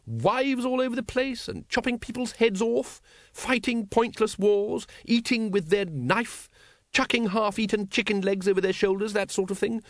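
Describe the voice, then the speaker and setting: Audiobook role: high-born English lady, done by a man, upper-class accent, raised lilting pitch, airy disapproval. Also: wildly swooping pitch, moderate pace, no room sound, clean studio sound. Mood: airy, disapproving.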